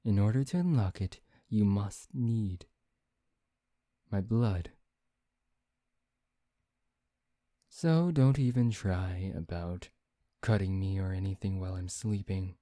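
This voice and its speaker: A man playing a brooding, taunting vampire in an ASMR roleplay, speaking in a theatrical, deliberate, teasing way. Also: lowish voice, dark tone, dry recording, studio quality, natural voice. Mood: warning, smug. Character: brooding, taunting male vampire bent on revenge. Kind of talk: ASMR roleplay, soft dramatic acting.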